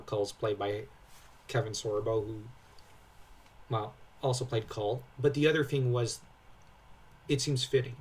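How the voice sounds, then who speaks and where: A young man with a somewhat husky voice speaks at middle pitch, a little away from the microphone. He chats casually as a co-host, enthusiastic and prone to wandering off on tangents. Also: distant mic, low-quality recording. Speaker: co-host commentator. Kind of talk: casual lore breakdown commentary.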